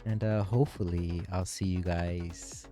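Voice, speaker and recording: Low-voiced man hosting a casual racing stream. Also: fairly even intonation, measured pace, mellow, soft background music. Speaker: race broadcast host interviewing drivers. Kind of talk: casual livestream post-race interview and sign-off.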